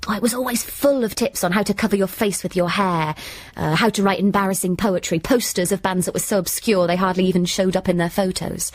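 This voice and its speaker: A woman acting a sulky British daughter telling her own tale in a radio comedy. Her medium-pitched voice is deadpan and droll, recorded very dry and close. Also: no echo, close to an everyday voice. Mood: droll reminiscing. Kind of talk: scripted first-person comic narration.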